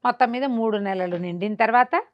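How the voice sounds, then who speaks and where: Female storyteller, Telugu accent, somewhat high pitch. Conversational folk-tale delivery, expressive with character dialogue. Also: a little fast, lo-fi sound.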